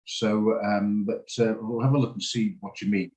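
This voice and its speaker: A man's voice: lowish voice, steady tone, normal speed, a little mellow. A man hosting a live online trading session over a video call, greeting people by name and talking in a rambling, self-deprecating way with lots of fillers. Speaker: trading room host.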